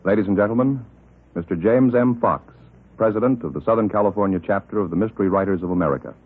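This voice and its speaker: A man's voice: lowish voice, quick pace, breathy edge. A man doing formal, solemn scripted announcing for a 1950s radio broadcast. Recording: tinny old radio, loud music. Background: loud music.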